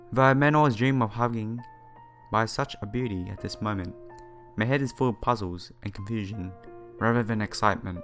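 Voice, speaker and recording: A man with a fairly low voice reads a visual novel aloud as a let's player. His phrasing is halting as he narrates and drops in his own comments. Game music plays behind him. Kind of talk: reading visual novel text aloud with asides.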